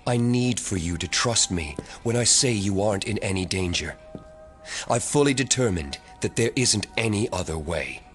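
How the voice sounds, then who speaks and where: An actor playing a solemn, quietly menacing psychic in an audio drama, speaking in portentous statements. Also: male voice, lowish voice, lively intonation, normal speed, soft background music. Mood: quietly insistent.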